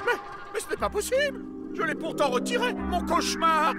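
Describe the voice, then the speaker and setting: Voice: very high voice, very animated, normal speed. An actor playing an indignant, easily vexed nightmare monster villain in a French cartoon, blustering and repeating words. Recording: loud music behind the voice.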